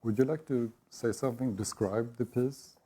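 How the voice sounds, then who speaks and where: A man moderating an interview as curator, asking brief, measured questions. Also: medium pitch, mellow, slightly rough. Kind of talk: moderating a live-streamed interview.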